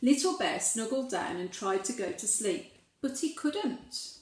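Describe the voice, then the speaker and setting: A woman reading a storybook expressively to young children, pausing to put gentle questions to the listeners. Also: fairly high pitch, lively intonation, roomy sound.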